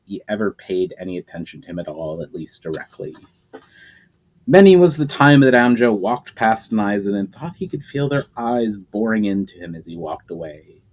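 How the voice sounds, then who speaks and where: A man reading a chapter of his own novel aloud, telling it in a lively way with small slips and self-corrections. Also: on the low side. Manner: lively storytelling with frequent self-corrections and fillers.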